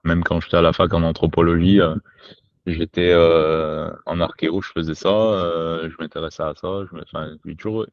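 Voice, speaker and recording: A man's voice: sits low, steady tone, moderate pace, no echo. A man from Quebec talking spontaneously and informally as a podcast guest, with slangy, sweary phrasing, on a lo-fi home recording. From Quebec, Canada. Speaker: podcast guest, survival instructor. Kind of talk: spontaneous casual conversation.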